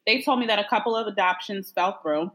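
Frank, bright-voiced woman guest. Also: mid-range voice, a little fast. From New York. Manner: frank, conversational, lots of "like" and "you know". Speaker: guest, adoptee. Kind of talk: casual interview.

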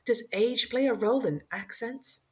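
A woman's voice: fairly high pitch, moderate pace, a little hiss or hum. A woman co-hosts a podcast in a casual, teasing way, cracking jokes as she goes. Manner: teasing, joking. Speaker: podcast co-host.

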